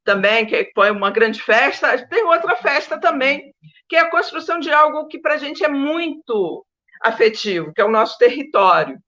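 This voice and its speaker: Bright-voiced Brazilian woman, slightly muffled audio. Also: high voice, animated, normal speed. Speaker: historian of science, panel guest. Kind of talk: informal academic panel talk.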